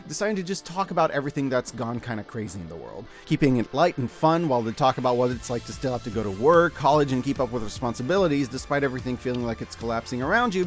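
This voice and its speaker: A man narrating a scripted review video as its host, in a casual, wry and expressive way. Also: medium pitch. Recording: background music under the voice. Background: background music.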